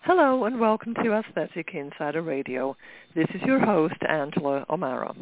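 A woman's voice: low-pitched, animated, normal speed, dry recording, soft background music. A woman hosting a radio interview, upbeat and chatty, with many "you know" fillers. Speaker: radio show host. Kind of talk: hosted interview chat. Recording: phone-like lo-fi line.

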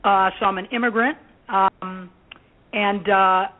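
A woman's voice: mid-range voice, steady tone, takes it slowly. A middle-aged American woman, a professor speaking as an interview guest in an earnest, reflective, conversational way. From United States (Indiana), born in Greece. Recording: tinny phone-like line.

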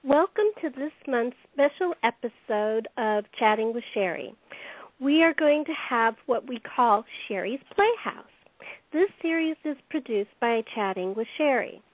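A woman host narrating a radio play, reading the script deliberately, phrase by phrase. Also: a little high, lively intonation, dry recording. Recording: dry, tinny phone-line audio.

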